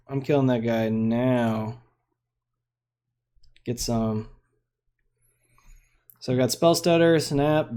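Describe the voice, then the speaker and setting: A man's voice: medium pitch, warm tone. A young man giving casual, rambling commentary on a card game he is streaming. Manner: laid-back, rambling, lots of fillers.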